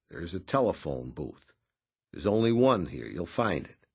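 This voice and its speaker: A man voicing a tough, curt man giving blunt orders over the phone with quiet threats. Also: on the low side, husky, exaggerated voice. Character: hard-bitten male stalker, curt and tough.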